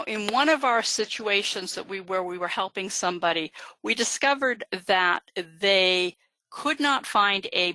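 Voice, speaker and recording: A woman's voice: fairly low pitch, sharp tone, no room sound, rough recording. A woman genealogist giving a live webinar lecture, explaining step by step with frequent fillers. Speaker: genealogist presenter.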